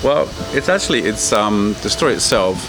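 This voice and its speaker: A man's voice: on the low side, big pitch swings, brisk, music in the background, rough recording. A middle-aged man with an English accent, answering interview questions in a chatty, self-deprecating storytelling way. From England.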